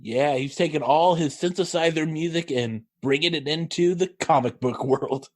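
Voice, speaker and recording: A man's voice: a little high, moderate pace, darkish tone. A man co-hosting a casual podcast, laid-back and chuckling through his quips. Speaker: podcast co-host.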